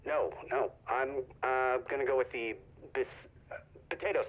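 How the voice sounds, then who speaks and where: A man playing an indecisive young boyfriend in a video game, talking casually and haltingly over a phone line. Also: medium pitch. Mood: hesitant. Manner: hesitant, informal. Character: indecisive, jealous young boyfriend on his lunch break. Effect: telephone filter. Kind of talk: naturalistic game acting, phone call. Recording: muffled recorded phone call.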